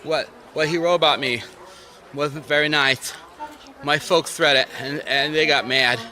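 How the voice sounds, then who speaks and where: A man playing a simple, wounded, childlike character with a grudge, halting and plaintive, in a radio mystery. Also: a little high, steady tone, sharp tone. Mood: halting, hurt. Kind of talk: radio mystery drama acting.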